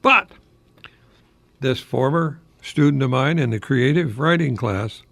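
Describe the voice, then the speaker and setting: A man's voice: mid-range voice, unhurried, vocal fry, a bit bright, no room sound. An older American man chatting like a professor on a radio talk, posing rhetorical questions as he goes. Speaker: radio host, retired college professor. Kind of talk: informal radio talk with verse recitation.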